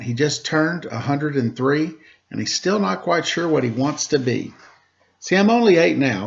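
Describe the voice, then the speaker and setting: A man's voice: on the high side, creaky, slight background noise. A man voicing an eager, daydreaming eight-year-old boy in a bouncy rhyming storybook recital. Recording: dull, lo-fi sound.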